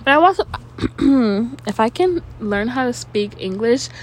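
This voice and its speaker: Young woman, somewhat high voice, stuffy nose, rambling casual monologue with slips and swearing, faint hiss behind. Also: expressive, measured pace. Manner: rambling, trips over words, swears casually. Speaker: podcast host.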